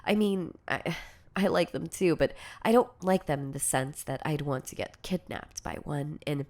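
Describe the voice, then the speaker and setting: A woman playing a shy, insecure, lovestruck girlfriend in an intimate ASMR audio roleplay, speaking in a hesitant, stammering, soft-spoken way. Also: sits low, normal speed, close to an everyday voice. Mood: awkward, self-conscious. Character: shy, insecure, lovestruck yandere girlfriend confessing obsessive devotion.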